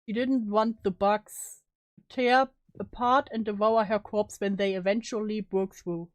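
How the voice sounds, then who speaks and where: A woman's voice: medium pitch, fairly even intonation, unhurried, no echo, natural voice, low-quality recording. A woman playing a guilt-ridden, despairing young student in a solemn, melodramatic story reading. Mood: grim, sorrowful. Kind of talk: dramatic reading of story narration.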